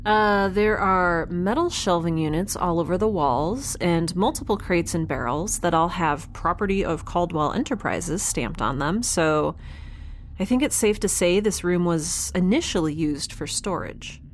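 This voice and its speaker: A woman playing a young, geeky, kindhearted space scientist in an audio drama, recording an informal log in a halting, self-correcting way. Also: lowish voice, lively intonation, slight background noise. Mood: curious, observing.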